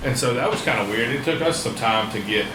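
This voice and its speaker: A man's voice: mid-range voice, animated, fast talker. A man in his forties talking casually and bluntly as an interviewer, informal and given to swearing. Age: 40s. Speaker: podcast host, tattoo artist. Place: indoors in a tattoo shop. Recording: roomy, noisy air-conditioned room.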